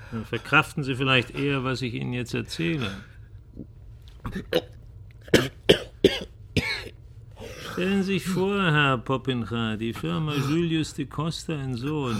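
An actor playing a cynical male company boss in a radio drama, speaking with deliberate, mocking condescension. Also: mid-range voice, gravelly, fairly bright tone, a little hiss or hum. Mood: sardonic, commanding. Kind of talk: German radio drama acting.

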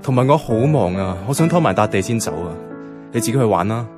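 A man's voice: medium pitch, expressive, fast, music in the background, lightly acted. An actor playing a lonely, heartbroken young man in a radio drama, subdued and reflective, with a Hong Kong Cantonese accent. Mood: weary, reluctant. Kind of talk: radio drama acting and inner monologue.

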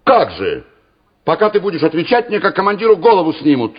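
An actor playing a stubborn, gruff Soviet regimental commander, curt and exasperated, in a radio drama. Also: male voice, sits high, sing-song, fairly quick, full character voice. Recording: muffled old radio play recording.

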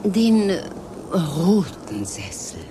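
A genteel, coolly arch hostess in a satirical radio play. She has a low voice that swoops widely and speaks slowly, haltingly and with mannered politeness, over audible background noise. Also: sing-song, heavily acted. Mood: polite, flustered.

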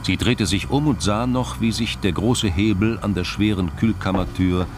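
A man's voice: fairly low pitch, moderate pace, noisy background. A man delivering scripted suspense narration as the narrator of a radio drama.

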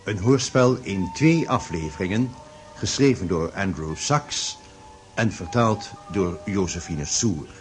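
A male radio drama announcer speaks very slowly and formally. His voice is fairly low, with music around it. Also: animated. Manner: measured, formal. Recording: music behind the voice.

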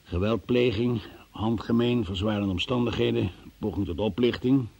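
An actor playing a forceful police investigator in a radio drama, formal, probing and theatrical in his delivery, with a husky voice. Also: on the low side, moderate pace. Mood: measured, dry. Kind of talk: Dutch radio drama acting.

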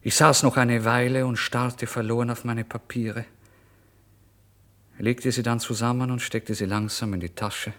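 A man's voice: mid-range voice, little pitch movement, a little slow, a bit bright. A male actor playing a despairing, tormented starving writer in a literary radio play monologue, theatrical in delivery. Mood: resigned. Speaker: actor narrating in first person.

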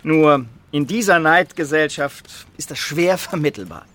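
An actor playing a smug, well-off environmental activist in a radio drama, talking in condescending rhetorical flourishes. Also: male voice, a little high, a little slow, fairly bright tone. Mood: smug, amused. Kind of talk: naturalistic radio drama dialogue.

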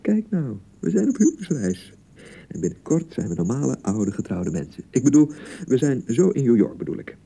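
An actor with a Dutch accent playing a young newlywed husband in a radio drama, placating and easily exasperated, with a hesitant way of talking. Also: male voice, medium pitch, sing-song, normal speed, vocal fry, warm tone, breathy edge, full character voice. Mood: insistent, nervous. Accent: Dutch (Netherlands). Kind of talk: naturalistic radio drama acting.

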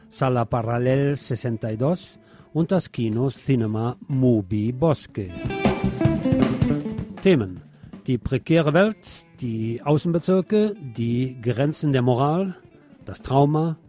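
Male news magazine presenter, relaxed mellow voice, wry scripted delivery, light music bed behind. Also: medium pitch.

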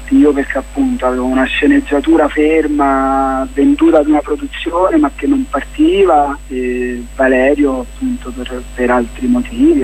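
A man giving reflective interview answers as a guest playwright, haltingly, searching for his words. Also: medium pitch, a little hiss or hum, rough recording. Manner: halting, searching for words, many fillers and restarts.

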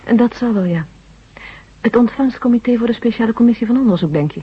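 An actress playing a composed brain specialist chatting with a companion in a radio drama. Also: female voice, fairly high pitch, fast talker, breathy edge. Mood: inquisitive. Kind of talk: conversational radio drama acting. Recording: dull vintage 1970s radio recording.

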